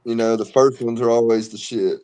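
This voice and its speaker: A man in his thirties, a co-host in casual chat with friends, loose and profane and quick to laugh. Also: mid-range voice, fairly even intonation, mellow, rough recording. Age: 30s. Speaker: podcast co-host.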